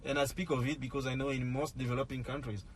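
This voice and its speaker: A man's voice: medium pitch, flat delivery, fast talker. Talkative man from Cameroon, noisy car. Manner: explanatory, hedging with "I mean, like". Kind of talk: casual chat. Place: inside a car.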